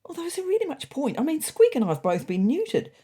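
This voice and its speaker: A woman's voice: high-pitched, huge pitch swings, quick pace, warm tone, voice pushed up. A woman voicing an earnest, naive, doubtful male pet cat in a comic audio storybook. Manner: earnest, questioning.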